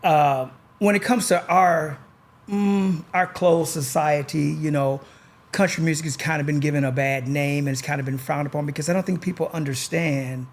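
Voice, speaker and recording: A man hosting a podcast, talking in a relaxed, rambling way with lots of pauses and fillers. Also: on the high side. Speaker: podcast host. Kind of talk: casual chat.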